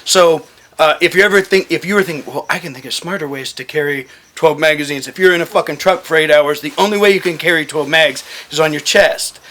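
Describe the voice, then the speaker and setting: A man with a bright, forceful voice pitched a little high. He talks casually, like someone swapping stories with friends, blunt and joking, with plenty of swearing. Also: fairly quick. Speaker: firearms gear talk guest and former overseas contractor. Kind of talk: casual storytelling to a small group.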